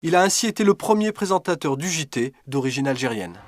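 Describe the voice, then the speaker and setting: A man reading a documentary voice-over in a measured, earnest way. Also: on the high side, lively intonation, a little hiss or hum. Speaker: documentary narrator. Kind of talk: scripted voice-over narration. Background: music.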